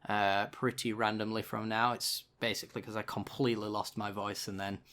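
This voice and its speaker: A man's voice: lowish voice, level tone, fairly quick, a bit bright. A man giving casual, chatty card game commentary with dry sarcasm, still getting over a tickly sore throat. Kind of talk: gameplay commentary.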